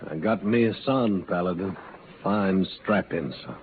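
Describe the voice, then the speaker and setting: An American actor playing a rugged, stubborn outlaw in a 1950s western radio drama, with a folksy, plainspoken rural drawl. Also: male voice, deep voice, unhurried. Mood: proud, easy. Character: rugged male outlaw, proud new father, stubborn and vengeful. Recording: muffled, hissy old radio recording.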